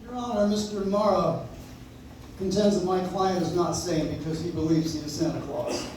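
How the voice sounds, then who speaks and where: An actor playing a shrewd, confident defense lawyer in a stage radio play, speaking with theatrical assurance. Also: male voice, high-pitched, fairly even intonation, moderate pace, darkish tone, natural voice. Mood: formal. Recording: echoey, distant, noisy audience-member recording.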